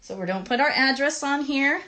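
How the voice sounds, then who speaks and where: A woman co-hosting a children's puppet show as the grown-up, talking in an encouraging, gently instructive, parental way. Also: high-pitched, lively intonation, brisk.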